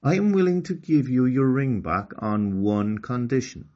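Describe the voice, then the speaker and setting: Man playing an imperious crocodile queen, animated delivery. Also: medium pitch, unhurried, lo-fi sound, pitched above the performer's own voice. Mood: bargaining. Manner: commanding, haughty. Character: imperious crocodile queen ruling an underwater world. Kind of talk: children's storybook read-aloud.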